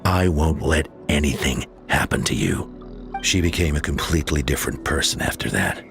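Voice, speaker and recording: Low voiced caring game chief, grave, lots of rise and fall, soft music behind. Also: male voice, lively intonation, normal speed, creaky, exaggerated voice. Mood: solemn, sorrowful. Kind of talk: dramatic video game storytelling.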